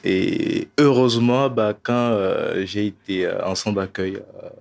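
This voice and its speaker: Young man from Togo, low voice, hesitant panel talk, lots of fillers. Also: slow pace, gravelly. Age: 20s. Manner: hesitant, many fillers, soft laughs. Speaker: dancer, singer and poet. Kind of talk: relaxed panel chat with a recited poem.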